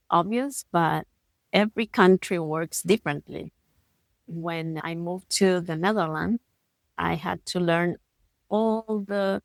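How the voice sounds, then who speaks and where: A woman with an Argentine Spanish accent talks in a thoughtful, earnest way, leaning slowly on the words that matter to her. Her voice sits fairly low. The recording is close and dry, with no room sound around her. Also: no echo. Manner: thoughtful, earnest, with slow deliberate stresses. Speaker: podcast host. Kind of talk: reflective solo podcast talk.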